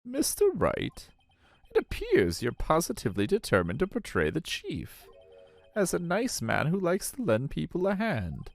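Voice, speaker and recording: A man's voice: fairly high pitch, huge pitch swings, unhurried, no echo, pitched above the performer's own voice, heavily acted. A man voicing a smug, imposing middle-aged police chief who talks in a theatrical, mocking tone, in a fan dub of a game. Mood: condescending.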